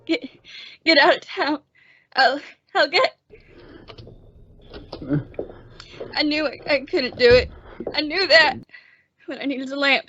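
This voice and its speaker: A woman's voice: high-pitched, measured pace, pauses often, bright, forceful tone, muffled recording, slight background noise. A woman playing a timid, bookish young man made sheriff, with a hesitant, stammering, anxious manner, in an old-time western radio drama. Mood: defeated. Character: timid, bookish young male sheriff, nervous insect collector.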